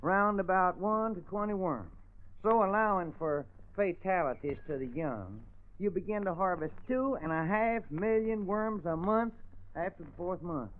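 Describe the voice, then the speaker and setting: An actor playing a gullible, apologetic country husband and farmer with a Southern accent, earnest and polite, in old radio drama style. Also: male voice, high voice, lively intonation. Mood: earnestly explaining. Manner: earnest, slow-witted, polite, folksy. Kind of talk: 1950s radio drama acting. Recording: tinny old radio broadcast recording.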